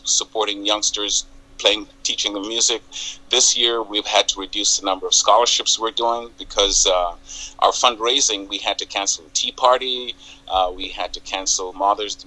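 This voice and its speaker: An older man with a Jamaican accent, a community group president telling his story in a measured, halting way as a TV interview guest. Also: lowish voice, sharp tone, rough recording. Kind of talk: interview on local TV.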